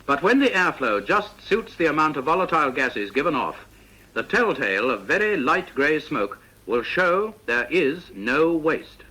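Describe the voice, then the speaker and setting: A British man reading a scripted instructional narration for a vintage training film, in a precise, measured, didactic manner. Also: on the high side, very animated, sharp tone, breathy edge, rough recording.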